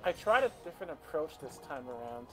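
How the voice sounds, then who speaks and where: A woman's voice: sits low, big pitch swings, fairly quick. A woman playing a polite, nervous young female college student, speaking formally and earnestly in a story reading. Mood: anxious, polite. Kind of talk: character voice in a live fan-fiction reading. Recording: music playing behind the voice.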